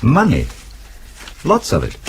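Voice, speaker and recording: An actor playing a dry, wry, unflappable husband with an arch, ironic manner in a radio drama. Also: male voice, mid-range voice, wildly swooping pitch, slow, vocal fry, exaggerated voice. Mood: wry amusement. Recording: noisy old radio drama recording.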